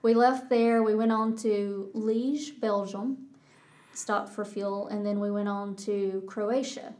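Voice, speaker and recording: A middle-aged woman with a Texas accent, a pilot answering interview questions in a measured way, often restarting her sentences. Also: mid-range voice. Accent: Texan. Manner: flat, measured, with many restarts. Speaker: pilot guest.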